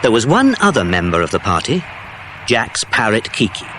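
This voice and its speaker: A man's voice: on the low side, huge pitch swings, moderate pace, background noise. A man narrating a children's adventure storybook as a scripted storyteller. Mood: measured storytelling.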